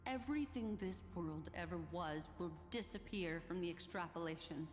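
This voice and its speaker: An actress plays a composed young woman from a video game, her voice on the low side. She is measured, formal and quietly defiant, with a sardonic edge. Long reverb and music sit around her. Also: lightly acted. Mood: cool, accusing. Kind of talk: scripted video game voice acting.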